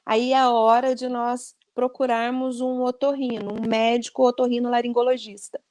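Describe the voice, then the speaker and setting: High-voiced woman explaining voice care. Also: flat delivery, normal speed, darkish tone, dry recording. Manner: earnest and explanatory, many "né?" tags. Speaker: speech therapist guest.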